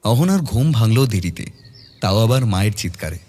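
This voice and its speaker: A man's voice: fairly low pitch, big pitch swings, moderate pace, a little mellow. A man narrating a scripted horror audio story in a dramatic storytelling style.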